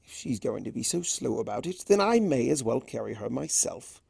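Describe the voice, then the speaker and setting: A performer voicing a male chaos creature, a smug and theatrical trickster who teases in drawn-out phrases, for a story reading. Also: medium pitch, big pitch swings, creaky, darkish tone, slightly rough, no echo, clean studio sound, full character voice. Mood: playful, smug. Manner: drawn-out, theatrical, teasing delivery.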